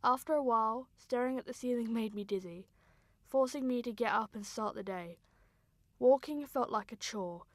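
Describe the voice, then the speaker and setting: A woman plays a grieving younger sister telling her story in a radio drama. The voice is fairly high, earnest and sombre, recorded close and dry with deliberate phrasing. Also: dry recording, natural voice. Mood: sombre, wondering. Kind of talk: scripted radio drama narration.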